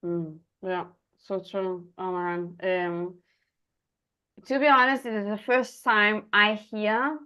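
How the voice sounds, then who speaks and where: A woman from Denmark with a Danish accent, hosting a personal, reflective conversation in non-native English, haltingly searching for her words. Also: mid-range voice, little pitch movement, pushed and bright, clear, resonant tone. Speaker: interview host.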